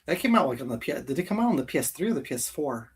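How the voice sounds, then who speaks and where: A man's voice: a little high, sing-song, very fast, breathy edge. A man co-hosting a livestream countdown, chatting in an informal, enthusiastic way without a script. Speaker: co-host of a video game countdown livestream. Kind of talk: casual unscripted chat.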